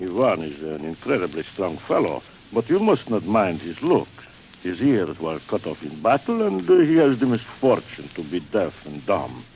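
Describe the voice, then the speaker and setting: Suave aristocratic hunter, muffled old radio. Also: male voice, low voice, wildly swooping pitch, moderate pace, heavily acted. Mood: genial, polite. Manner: courtly, formal, theatrical, savouring his words. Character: suave, proud, aristocratic big-game hunter general, obsessed with hunting. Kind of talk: 1940s radio acting. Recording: muffled, noisy vintage radio recording.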